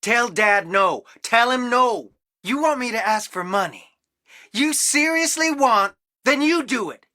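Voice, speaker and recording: A man's voice: very high voice, expressive, unhurried, sharp tone, no echo, full character voice. A young man from a well-off family, torn and wounded, in a dramatic video game. He speaks earnestly, with emotional strain, in a dry, close recording. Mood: bitter, angry.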